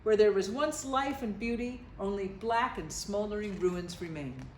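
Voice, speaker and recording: A woman's voice: mid-range voice, moderate pace, echoey room, a little hiss or hum, close to an everyday voice. A woman voicing an earnest toucan giving a solemn warning in a storybook.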